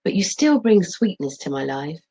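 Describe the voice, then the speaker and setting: A woman's voice: sits low, very animated, moderate pace, dark tone, slightly rough, heavily acted, poor audio. A woman voicing an easygoing, affectionate Stone Age father from a storybook, cheerful and reassuring.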